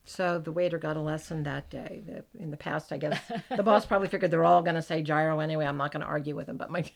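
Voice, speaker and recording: A middle-aged American woman with a gravelly voice, chatting as a podcast host and telling animated stories. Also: low voice, fast talker. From United States. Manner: chatty, animated storyteller, laughs often. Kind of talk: casual chat.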